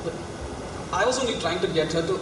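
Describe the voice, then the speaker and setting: A male stage actor plays an earnest young teaching assistant, explaining haltingly in a fairly high voice. He is flustered and careful. The recording is distant, in a reverberant hall with noise over it. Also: expressive. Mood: troubled, defensive. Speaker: stage actor in a college play. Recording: roomy hall from far off, loud hiss.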